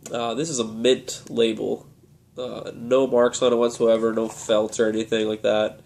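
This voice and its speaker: A man's voice: medium pitch, normal speed, creaky. Young guy with a cold, nasal and stuffy, rambling casual vlog chat, lots of uhs. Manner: relaxed, rambling, many "uh"s. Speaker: video game collector vlogger. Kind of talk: casual show-and-tell vlog.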